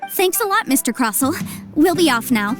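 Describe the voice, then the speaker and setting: A confident young legal advisor in an anime game, with a high voice. The delivery is precise and courteously formal, over faint music. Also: female voice, big pitch swings, normal speed.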